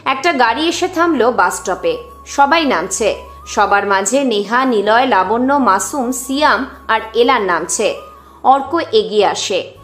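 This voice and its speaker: A woman reads a scripted drama as its narrator. Her voice sits a little high and carries a noticeable echo. Music plays faintly beneath the storytelling. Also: expressive, a little slow, fairly bright tone. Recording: roomy echo with music underneath.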